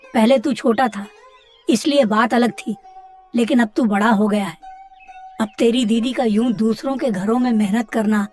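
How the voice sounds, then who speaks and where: A woman voicing a kindly older village uncle in a cartoon story, giving gentle moral advice. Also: a little high, moderate pace, faint music behind, close to an everyday voice. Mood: advising. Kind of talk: dramatised cartoon story dialogue.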